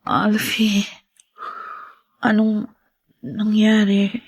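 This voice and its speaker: An actress playing a distraught, bitter young pregnant wife in a melodramatic radio drama style. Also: female voice, mid-range voice, monotone, very slow, breathy edge. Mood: weak, anxious. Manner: emotional, melodramatic. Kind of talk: Tagalog radio drama acting.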